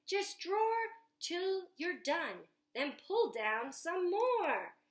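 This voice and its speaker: A woman's voice: extremely high pitch, big pitch swings, extremely slow, pitched above the performer's own voice, heavily acted. A woman reading a storybook's lines as an imaginative young boy who proudly shows off his dream house, in bouncy rhyming verse with stretched words. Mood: proud, excited. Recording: echoey room.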